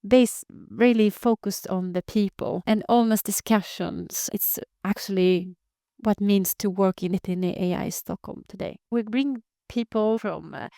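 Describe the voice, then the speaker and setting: A woman's voice: mid-range voice, measured pace, vocal fry, warm tone, no echo, clean studio sound. A woman in her thirties who grew up in Greece, a community founder answering interview questions earnestly, with many fillers and restarts. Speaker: community founder, data engineer.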